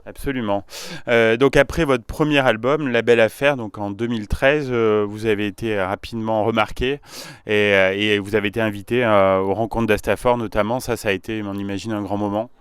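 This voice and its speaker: Smooth-voiced male radio interviewer. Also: fairly low pitch, no echo. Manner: calm, measured, short questions with listening murmurs. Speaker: radio host.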